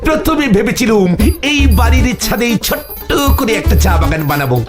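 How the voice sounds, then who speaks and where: A pompous, theatrical cartoon schemer. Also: male voice, very high-pitched, very animated, brisk. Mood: grandiose. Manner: pompous, theatrical, mixes English words into grand formal speech. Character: boastful scheming neighborhood man, big-talking would-be businessman. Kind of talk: comic cartoon dialogue. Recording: loud background music.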